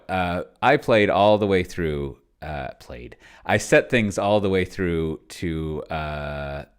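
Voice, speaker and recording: A man giving a casual, unscripted livestream walkthrough, offhand and self-deprecating, often trailing off and correcting himself mid-thought. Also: extremely low pitch. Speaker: livestreamer demonstrating solo tabletop roleplaying with an AI.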